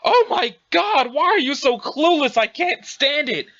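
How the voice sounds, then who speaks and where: Exasperated young woman played by an actress, very high and forceful, sharp-tongued, biting, for audio drama. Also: sing-song, a little fast, muffled recording, heavily acted. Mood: angry, indignant. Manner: emphatic, biting, indignant. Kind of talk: dramatized dialogue reading.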